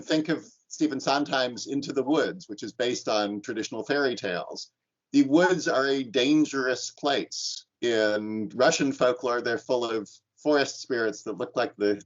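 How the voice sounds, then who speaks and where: Male instructor, mid-pitched, halting lecture with restarts, lo-fi online call. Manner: thoughtful, many restarts and ums. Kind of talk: lecture with class discussion. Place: online class.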